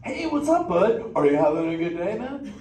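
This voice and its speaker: A man's voice: high voice, very animated, normal speed, darkish tone, recorded from a distance. A man playing a laid-back male surfer sea turtle in a live interactive theater show, drawling surfer slang and bantering with the crowd. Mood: playful. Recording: echoey theater heard from afar with ambient noise.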